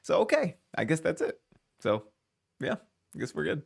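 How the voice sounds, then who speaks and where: A man's voice: a little high, very animated, normal speed, gravelly, husky. A man hosting a reaction video, casually thinking aloud, hedging and restarting his sentences. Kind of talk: casual commentary.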